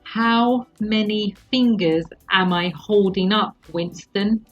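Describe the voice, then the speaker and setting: A woman voicing a calm, controlling male interrogator in a story reading, deliberate and patronising as he questions his captive. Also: high voice, muffled recording, soft background music, full character voice. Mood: stern questioning.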